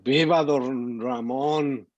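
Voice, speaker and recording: Slow-talking easygoing male sidekick, acted out. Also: medium pitch, steady tone, lightly acted. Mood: casual. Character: easygoing, slightly wary neighborhood sidekick. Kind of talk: screenplay table read acting. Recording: muffled, roomy sound.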